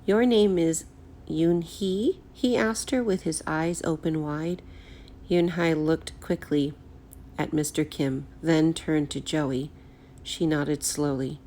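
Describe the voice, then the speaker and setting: Low-voiced woman reading, echoey room. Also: slow pace, mellow, slight background noise. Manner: careful, clearly phrased reading for children. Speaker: teacher reading a picture book. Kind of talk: read-aloud storytelling. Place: indoors at home, echoey room. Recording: roomy, echoey home recording.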